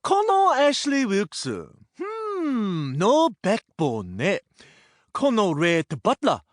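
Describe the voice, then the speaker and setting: A man's voice: sits high, wildly swooping pitch, dry recording, clean studio sound, voice pushed up, exaggerated voice. A man playing a feisty, firm immigrant mother with an emphatic way of talking, in an audio drama. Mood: scornful, dreamy. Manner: emphatic, slow, mixing in Japanese words.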